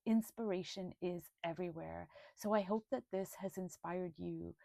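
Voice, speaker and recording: A woman presenting her art journal in a video, speaking in a slow, thoughtful, gently earnest way. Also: fairly low pitch, vocal fry, dry recording. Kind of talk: reflective video flip-through.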